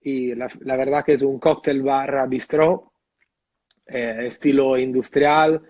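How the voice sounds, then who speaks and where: An Italian-accented man talks about his bar in an easy, halting way, with lots of "eh" and "¿no?". His voice is muffled and dry, with no room echo, as if he is on a video call. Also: mid-range voice, steady tone. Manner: hesitant, many fillers and tag questions. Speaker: bartender guest. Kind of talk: casual interview over video call.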